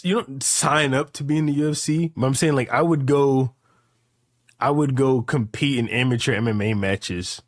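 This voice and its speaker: Man, late twenties, average pitch, casual streamer chat, emphatic repetition, slangy and profane, dry recording. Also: no echo. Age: late 20s. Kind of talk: casual chat, rambling hypotheticals.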